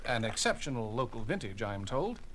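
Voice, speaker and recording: A man's voice: medium pitch, big pitch swings, a little fast, background noise, natural voice. A man playing a formal, flowery alien envoy in a sci-fi audio drama. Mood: formal, diplomatic. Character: courtly, formal alien government envoys and escort.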